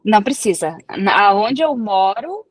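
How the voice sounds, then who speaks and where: A woman from Brazil with a Brazilian Portuguese accent, a researcher talking as a guest in a relaxed interview and explaining with everyday examples. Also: medium pitch, unhurried, dry recording. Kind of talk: casual interview conversation.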